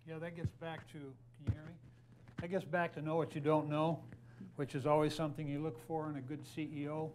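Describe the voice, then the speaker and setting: A man's voice: fairly high pitch, level tone, fairly quick, a little mellow, a little hiss or hum. A man speaking as a panelist in a live investor panel, rambling and correcting himself as he goes.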